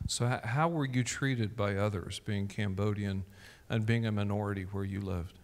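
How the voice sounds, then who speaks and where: A middle-aged man asking measured questions as interviewer on a church stage, speaking into a handheld mic. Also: lowish voice, in a large hall.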